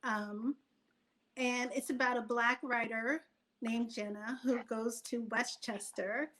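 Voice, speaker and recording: A woman speaking as a guest writer in a casual interview, conversational and animated, thinking aloud as she goes. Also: on the high side, slow pace, rough recording.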